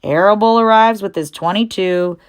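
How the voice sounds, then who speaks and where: A woman's voice: mid-range voice, normal speed, clear, resonant tone, pitched above the performer's own voice, close to an everyday voice. A woman voicing a blunt old female sheep in a storybook, talking in a matter-of-fact, snappish way.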